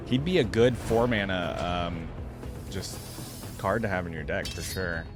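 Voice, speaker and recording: A man's voice: deep voice, a little slow, loud music behind the voice. A man giving casual reaction commentary as host, talking in an informal, slangy way and often exclaiming or musing aloud.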